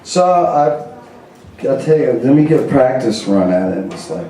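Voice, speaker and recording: A man's voice: medium pitch, big pitch swings, normal speed, dark tone. A male comedian telling a casual, profane story in a slangy, rambling stoner way, recorded on an echoey club stage. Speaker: comedian guest on a live show. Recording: echoey live club stage, distant mic.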